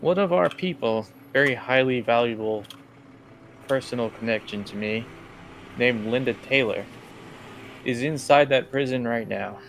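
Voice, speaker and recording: A man voicing a smooth, condescending crime family boss in a tabletop roleplay, speaking deliberately and formally. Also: mid-range voice, slight background noise, natural voice. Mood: calmly menacing. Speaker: guest game master.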